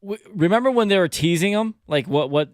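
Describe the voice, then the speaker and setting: A man's voice: sits high, expressive, a little fast, no room sound, clean studio sound. A man co-hosting a sports radio show, chatty and teasing.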